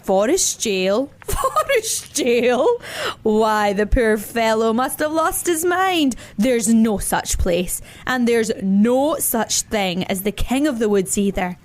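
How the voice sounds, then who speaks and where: A woman voicing a big, sensible male brown bear in a children's story, speaking slowly and emphatically. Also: fairly high pitch, big pitch swings. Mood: amused, firm.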